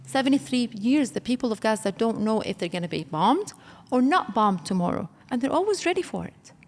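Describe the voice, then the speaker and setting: A middle-aged woman who grew up in Kuwait, speaking with passion as an interview guest and building to emphatic outbursts. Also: a little high. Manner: impassioned, rising to emphatic outbursts. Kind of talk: emotional interview. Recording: long reverb hall.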